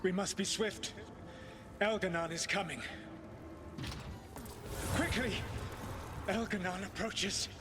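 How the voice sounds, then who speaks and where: An actor playing a grave, commanding ancient elven mage in a video game, with formal, deliberate phrasing. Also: male voice, high voice. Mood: urgent. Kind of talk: dramatic video game voice acting. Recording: loud music behind the voice.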